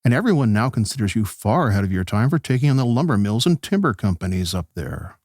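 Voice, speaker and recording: An actor playing a polite radio host who gently probes his political guests in a conversational interview style. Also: lowish voice, very animated, quick pace, dry recording, studio quality. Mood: polite, curious. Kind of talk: pseudo-radio play interview.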